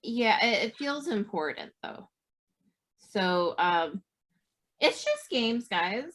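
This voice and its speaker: A woman's voice: medium pitch, very animated, takes it slowly, fairly bright tone. A woman talking casually as a player in a tabletop game. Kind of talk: casual chat.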